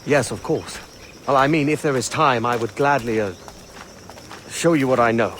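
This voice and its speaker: An actor playing a roguish, glib sailor in a video game, falsely polite with a casual, slightly hesitant wryness. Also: male voice, medium pitch, normal speed, a bit bright, a little hiss or hum, close to an everyday voice. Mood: hesitant, bluffing. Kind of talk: video game cutscene acting.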